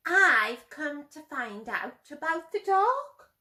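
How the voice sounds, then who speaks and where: A woman's voice: high voice, sing-song, slow pace, sharp tone, voice pushed up, heavily acted. A woman voicing a timid young boy owl in a childlike, plaintive, emphatic way for a storybook. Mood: polite, curious. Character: timid young boy owlet afraid of the dark.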